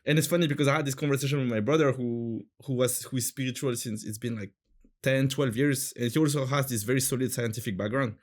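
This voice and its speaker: A man's voice: medium pitch, steady tone, fast talker. A man in his thirties from France with a French accent, chatting casually as an interview guest, searching for words with many fillers and restarts.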